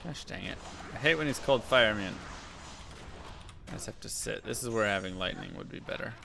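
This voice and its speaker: A man with a low, gravelly voice, chatting easily with his viewers and muttering under his breath as he plays. He sounds relaxed and offhand. There is a clear hiss of noise on the stream audio. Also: fairly quick. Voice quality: deep voice. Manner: relaxed, muttering to himself and chat while playing. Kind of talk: casual gameplay commentary.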